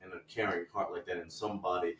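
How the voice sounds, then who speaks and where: A man's voice: level tone, quick pace, fairly bright tone. A man in a casual podcast chat, talking earnestly with stumbles and some teasing. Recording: distant mic, echoey room, faint rumble.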